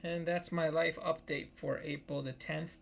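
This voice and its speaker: A man's voice: fairly high pitch, flat delivery, normal speed, gravelly, slight background noise. A man in his early thirties giving a meandering personal life update, often repeating himself and restarting phrases. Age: 30s. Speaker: vlogger.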